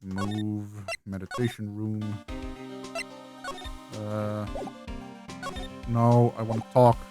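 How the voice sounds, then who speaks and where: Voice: mid-range voice, flat delivery, extremely slow, loud music behind the voice. A gamer doing a let's play, reading game text aloud and commenting casually. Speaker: video game streamer.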